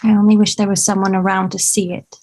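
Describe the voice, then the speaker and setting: A woman's voice: mid-range voice, flat delivery, fast, darkish tone, natural voice. A woman playing a wistful, prim, romantic young daughter at a screenplay table read, with dramatic, girlish delivery.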